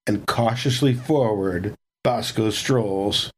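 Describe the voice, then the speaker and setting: A man's voice: on the low side, slow, breathy edge, rough recording. A man playing a tabletop game, chattily and wryly describing what his character does and thinking aloud. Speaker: player.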